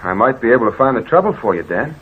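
A man's voice: medium pitch, big pitch swings, fast talker, husky. An actor playing an affable, obliging man with an easygoing, deferential manner, in 1940s radio drama style. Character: affable, obliging male travel companion. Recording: tinny, hissy old radio recording.

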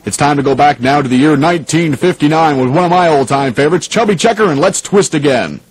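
A man playing a 1980s radio station host, speaking in a measured, formal announcer style. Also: fairly high pitch, natural voice. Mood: upbeat, announcing. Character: 1980s male radio DJ hosting oldies, turning newsreader. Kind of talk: radio DJ and news bulletin announcing. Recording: noisy radio broadcast recording.

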